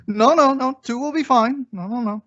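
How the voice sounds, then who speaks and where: A man's voice: very high-pitched, normal speed, fairly bright tone, lo-fi sound. A man playing a flustered, well-meaning young husband in an old radio sitcom, hesitant and given to exclaiming. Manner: hesitant, exclaiming comic delivery. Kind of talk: 1950s radio sitcom acting.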